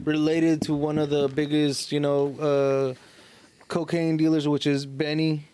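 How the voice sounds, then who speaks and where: A man's voice: on the high side, level tone, measured pace, noisy background. A man co-hosting a podcast in casual, slangy conversation with plenty of swearing. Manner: slangy, profane. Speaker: podcast co-host. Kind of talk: casual chat.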